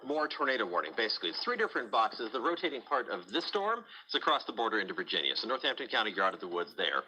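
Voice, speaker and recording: A man's voice: lowish voice, big pitch swings, very fast, sharp tone, a little hiss or hum, low-quality recording. A man working as a TV meteorologist, giving live severe weather commentary in a conversational, explanatory way.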